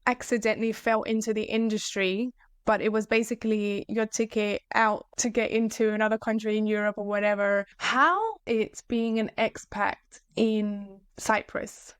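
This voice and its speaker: A woman hosting a podcast, asking her guest upbeat, quick questions in a casual interview. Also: mid-range voice, little pitch movement, clean tone, dry recording, clean studio sound. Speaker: podcast host.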